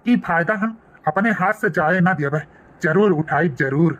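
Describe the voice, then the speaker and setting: A man's voice: high voice, a little slow, a bit bright, muffled recording. Sly Bhojpuri village brother-in-law played by a man. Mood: scheming. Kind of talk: comedy cartoon voice acting.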